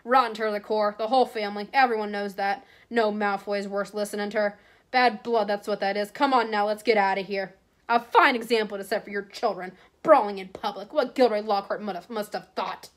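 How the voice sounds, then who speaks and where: A woman reading a gruff giant groundskeeper. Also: medium pitch, steady tone, brisk, close to an everyday voice. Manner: gruff, scolding.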